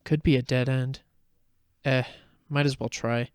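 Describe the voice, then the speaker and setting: A man's voice: mid-range voice, little pitch movement, unhurried, smooth, no room sound, clean studio sound, close to an everyday voice. A man voicing an anxious but determined, sarcastic teenage girl adventurer who talks to herself in an audio fan fiction. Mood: wry, muttering. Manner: wry, talking to self.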